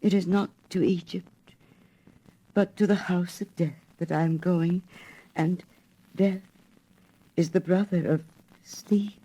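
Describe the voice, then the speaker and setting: A woman voicing a small, devoted male swallow in a fairy tale, gentle, soft and solemn. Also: lowish voice, steady tone, takes it slowly, long pauses, breathy edge, rough recording. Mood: solemn, resigned.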